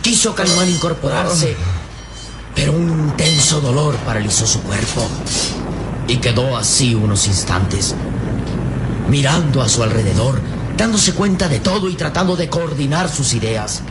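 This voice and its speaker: A man narrating an old radio serial in a solemn, emphatic style with dramatic pauses. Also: mid-range voice, animated. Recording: old radio serial, noisy storm effects.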